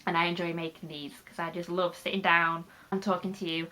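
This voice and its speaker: A woman's voice: fairly low pitch, level tone, brisk, pushed and bright. A young British woman with a slightly nasal voice from a cold, rambling chattily through a casual vlog. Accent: British English. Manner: rambling, chatty, with frequent fillers. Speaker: YouTuber.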